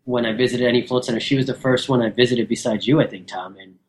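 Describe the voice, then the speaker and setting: A man's voice: medium pitch, quick pace. A man co-hosting a podcast over a remote call, chatty and rambling with many fillers. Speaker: podcast co-host. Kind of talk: casual podcast chat.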